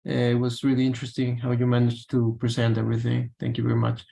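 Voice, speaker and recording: A man answering questions over a video call, halting with many fillers. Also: medium pitch, completely flat delivery, vocal fry, mellow.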